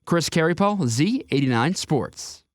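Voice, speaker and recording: A man's voice: on the high side, expressive, measured pace, background noise. An American man reading a scripted radio sports update in short announcer headlines. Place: radio studio.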